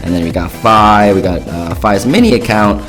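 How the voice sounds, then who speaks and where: A hoarse young man with a raspy voice, chatting casually as he commentates replays from a mobile strategy game. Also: fairly low pitch, moderate pace, fairly bright tone, soft background music. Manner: chatty, filler-heavy, upbeat. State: hoarse, losing his voice. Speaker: gaming YouTuber.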